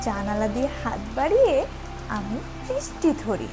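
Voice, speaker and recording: A woman narrating a children's story in an expressive, theatrical, sing-song way, taking her time. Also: a little high, moderate pace, music in the background.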